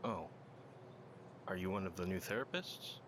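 An actor playing a gentle, fragile patient who speaks in a halting, fearful way. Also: male voice, sits low, a little hiss or hum. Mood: polite. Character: fragile haunted older male patient.

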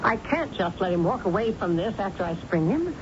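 An actress playing a middle-aged American businesswoman and controlling, wounded mother, brisk and emotional, in 1970s radio drama style. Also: medium pitch, sing-song, close to an everyday voice. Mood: pleading, hurt. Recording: muffled, noisy old radio broadcast recording.

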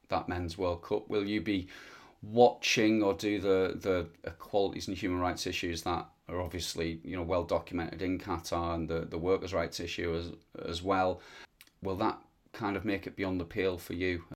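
A man's voice: low voice, level tone, moderate pace. A man hosting a football interview, asking long questions with frequent hesitations and repeated words. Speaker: podcast host. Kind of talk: interview host. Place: lives in Wales.

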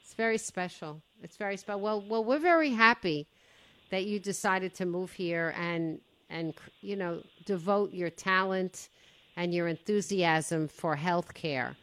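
A woman's voice: lowish voice, a little slow, a bit bright, clean tone, low-quality recording. A woman hosting a radio talk show, interviewing a guest in a chatty, affirming way.

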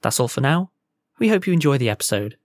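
A man's voice: medium pitch, sing-song, quick pace, no echo, studio quality. A man giving a scripted podcast introduction as the host. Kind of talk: scripted announcement.

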